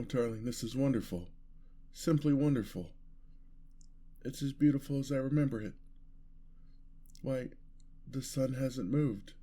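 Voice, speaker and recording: Slow, gravelly romantic vampire played by a man. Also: medium pitch, dark tone, close to an everyday voice. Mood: awed, joyful. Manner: formal, flowery, tender old-fashioned phrasing. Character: gentle, lovestruck, world-weary male vampire, courtly and sentimental. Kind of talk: ASMR roleplay acting.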